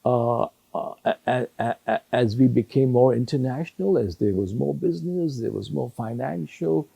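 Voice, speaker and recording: An older man whose native language is Sindhi, answering interview questions in a measured way with many pauses and restarts. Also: medium pitch, creaky, warm tone, husky, dry recording. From Hong Kong. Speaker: retired judge, interview guest.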